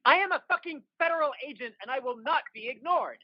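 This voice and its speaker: Casting: federal agent at the end of their patience female actor, high, barking, forceful, audio drama. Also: expressive, fast talker, dry recording, dull audio. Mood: angry, exasperated. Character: Leams, exasperated, commanding federal agent under pressure.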